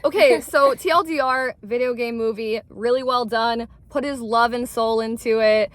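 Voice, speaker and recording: A woman's voice: a little high, steady tone, normal speed, dry recording. A young woman co-hosting a casual movie review from a parked car, bubbly and slangy.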